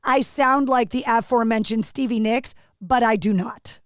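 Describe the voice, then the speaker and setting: A woman hosts a podcast interview with a raspy, fairly high voice. She is chatty and self-deprecating, and she is recorded close and dry, with no room sound. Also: moderate pace, dry recording. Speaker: podcast host. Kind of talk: casual interview chat.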